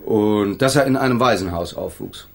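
A man's voice: lowish voice, moderate pace, vocal fry. A young man playing a proud, reserved lawyer in a radio crime drama, speaking in a precise, pointed, articulate way.

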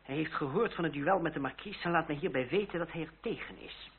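Man playing young male duellist, lightish pitch, cool irony, theatrical radio drama, band-limited hissy old recording. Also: fast talker, narrow, tinny audio, close to an everyday voice. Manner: composed, ironic, theatrical. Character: defiant, coolly mocking young male lawyer and duellist. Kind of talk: period radio drama acting.

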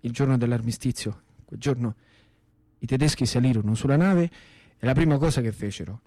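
A man's voice: medium pitch, brisk, warm tone, no room sound. A man with a Roman Italian accent performing a dramatic first-person wartime monologue in short, colloquial, theatrical phrases. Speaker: stage actor and storyteller.

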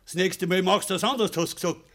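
Verbitterter, störrischer alter bayerischer Bauer. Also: male voice, high-pitched, fast talker, fairly bright tone. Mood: furious. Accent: Bavarian. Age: older. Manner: curt, sarcastic, defiant. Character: bitter, stubborn, proud old peasant farmer, quick to anger. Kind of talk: rural dialect radio play acting.

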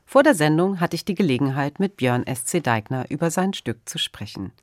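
Low-pitched woman interviewing on radio. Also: animated, a little fast, dry recording, studio quality. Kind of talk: radio interview, prepared introduction and questions.

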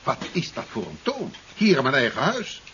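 Casting: an indignant, educated scientist in seventies radio drama, swinging widely in pitch, on a dull hissy recording. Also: male voice, huge pitch swings, moderate pace. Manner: theatrical, emphatic, educated formal speech. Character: indignant male scientist, scornful, then frightened under threat. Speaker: radio play actor. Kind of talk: 1970s radio drama acting.

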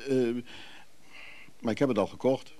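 An actor in a Dutch radio play voicing an evasive, soothing antiques buyer, speaking conversationally and a little hesitantly. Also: male voice, medium pitch, unhurried, mellow, lightly acted. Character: Freek, evasive, soothing middle-class antiques buyer and brother.

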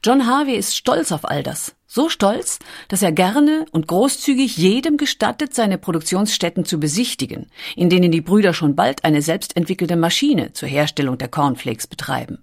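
A woman reading scripted documentary narration with measured, carefully articulated storytelling. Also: lowish voice, lively intonation, darkish tone.

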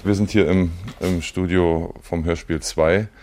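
A man's voice: low-pitched, steady tone, unhurried. A man explaining and demonstrating as a studio sound engineer, in an informal guided-tour style. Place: radio drama studio. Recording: studio walk-through with clear background noise.

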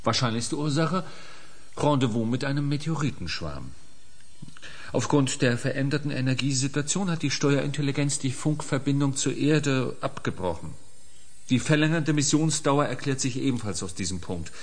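An actor playing a sober, matter-of-fact expert giving a formal report in a science fiction radio play. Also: male voice, medium pitch, animated, normal speed. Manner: formal, factual, orderly.

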